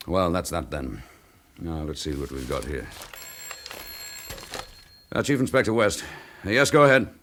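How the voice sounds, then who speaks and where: An actor playing a dogged, frustrated police chief inspector in a radio drama, with a brisk, probing, forceful manner. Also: male voice, low voice, expressive, slight background noise. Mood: weary, businesslike.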